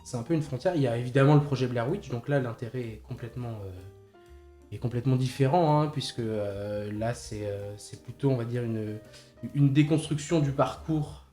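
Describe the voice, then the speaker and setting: Man, casual film talk, halting delivery, roomy podcast sound, faint guitar backing. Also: medium pitch, mellow. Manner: hesitant, halting, repeats words while thinking. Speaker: podcast co-host. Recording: slightly roomy podcast recording with music behind.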